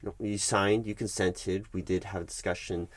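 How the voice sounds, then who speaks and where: A man's voice: deep voice, fairly even intonation, moderate pace. A man playing a politely evasive, officious HR manager in an ASMR office roleplay, stammering through formal, bureaucratic wording. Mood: officious, defensive. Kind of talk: ASMR roleplay, one-sided office meeting.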